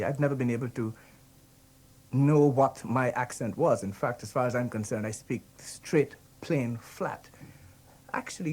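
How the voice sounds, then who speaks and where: A man from Guyana with a Guyanese accent, thoughtfully giving a spoken account, hesitant and pausing. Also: medium pitch, a little mellow, slightly rough. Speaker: interviewee.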